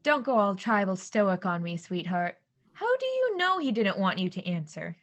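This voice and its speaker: A woman playing a spirited, teasing, bossy backwoods wife in an audio drama, brisk and commanding. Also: mid-range voice, big pitch swings, close to an everyday voice. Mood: wry, teasing. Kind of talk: amateur audio drama acting.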